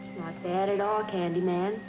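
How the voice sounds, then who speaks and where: Casting: actress as jaded, wry married woman, lowish voice, vintage melodrama, dulled sound with loud music behind. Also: steady tone, moderate pace, highs cut off, close to an everyday voice. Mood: sardonic. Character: world-weary, sardonic married woman yearning to escape. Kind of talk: old-time television drama acting.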